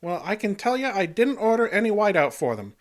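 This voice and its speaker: A punch-drunk, folksy janitor in an old-time radio comedy. His pitch swoops around, and he nervously repeats the tail ends of his phrases. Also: sing-song, a little fast. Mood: defensive, evasive. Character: punch-drunk male custodian, folksy and jittery.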